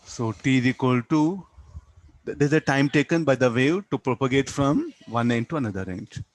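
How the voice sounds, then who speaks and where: A man's voice: mid-range voice, lively intonation, moderate pace. A man with an Indian English accent lecturing as a physics teacher, explaining step by step and often posing questions that he answers himself. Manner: didactic, asks and answers own questions, tags statements with "no?". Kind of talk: classroom physics lecture, working through a problem.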